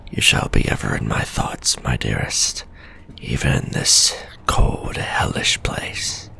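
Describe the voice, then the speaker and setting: A man voicing an obsessive male scholar-mage who reads his letters home in a formal, soft whisper for an ASMR story. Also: extremely low pitch, steady tone, slow, creaky, husky, exaggerated voice. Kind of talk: whispered ASMR roleplay reading.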